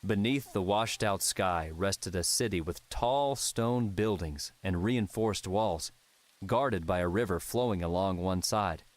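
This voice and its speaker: A man's voice: low voice, measured pace, no echo. A man narrating scripted fiction as an audiobook narrator.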